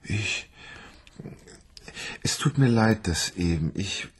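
Radio drama: timid, shaken suspect, husky and whispery. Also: male voice, lowish voice, lively intonation, heavily acted. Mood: subdued. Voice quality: halting, hushed, often whispering. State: sedated, in shock. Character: dazed, sedated man in shock, timid. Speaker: murder suspect in shock.

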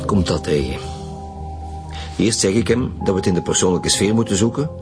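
A man's voice: deep voice, expressive, normal speed. A man playing a sceptical, blunt police commissioner in a radio drama, with a Flemish accent. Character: sceptical male police commissioner leading detectives. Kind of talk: naturalistic radio drama acting. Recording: music behind the voice.

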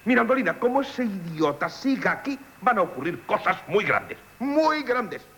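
A pompous, gushing marquis, wildly animated. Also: male voice, sits high, moderate pace, heavily acted. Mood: indignant. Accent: Castilian Spanish. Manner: pompous, effusive, exclamatory. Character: boastful, gushing, jealous, vain marquis in love. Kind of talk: 1970s Spanish radio theatre acting.

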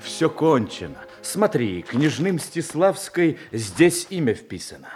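A man's voice: fairly high pitch, big pitch swings, takes it slowly, darkish tone, soft background music. An actor playing a firm nobleman in a classical radio drama, speaking in an admonishing manner. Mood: stern admonishing. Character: firm nobleman laying down that all is finished. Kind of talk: Russian classical stage acting, radio play.

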